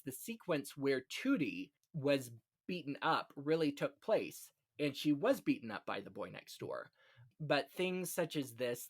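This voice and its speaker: A man hosting a film podcast, talking casually and chattily with little asides. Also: medium pitch. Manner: chatty, punctuates talk with "you know" asides. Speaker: podcast host. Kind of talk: casual chat about classic film.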